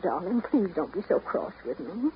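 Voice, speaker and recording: A woman's voice: mid-range voice, very animated, moderate pace, close to an everyday voice. An actress in a 1930s radio drama playing an anxious young woman, intimate and imploring as she pleads. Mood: pleading. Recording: muffled, noisy 1930s radio broadcast recording.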